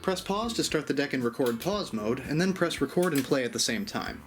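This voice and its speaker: Man, casual tutorial host, highly varied intonation, step-by-step explaining, faint music behind. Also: animated, a little fast. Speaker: host of an audio gear channel.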